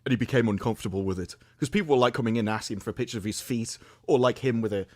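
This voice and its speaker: A man's voice: medium pitch, rapid-fire, no echo. An American man in casual livestream chat, telling rambling joking stories. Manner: rambling, joking, animated storytelling.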